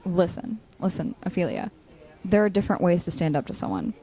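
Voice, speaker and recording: Woman acting blunt wry young friend in an audio drama, lowish mellow voice, protective and reassuring. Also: very animated, fairly quick. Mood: firm, supportive. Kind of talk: naturalistic audio drama dialogue.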